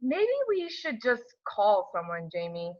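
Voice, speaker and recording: A woman plays a young wife in her late twenties with a very animated, swooping delivery. She is casual and teasing, as in a film drama read at home. The recording is a little dull and lo-fi. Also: mid-range voice, a little slow.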